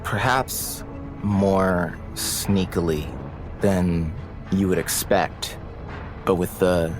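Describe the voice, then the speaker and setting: A man dramatically narrating his character's actions as a player in a tabletop game. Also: deep voice.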